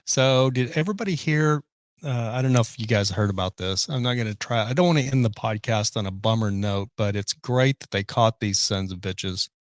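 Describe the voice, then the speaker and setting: A man's voice: on the low side, a little fast, no echo, clean studio sound. A man hosting a live podcast stream, chatting casually and reading viewer comments. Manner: rambling, reads viewer comments. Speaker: podcast host. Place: home office.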